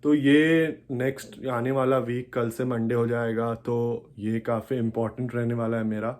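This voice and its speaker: A man's voice: medium pitch, little pitch movement, a little slow, darkish tone. A young man with an Indian accent chatting casually as a vlogger, explaining things and switching often between two languages. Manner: code-switches between Hindi and English, explanatory. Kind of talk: casual vlog chat.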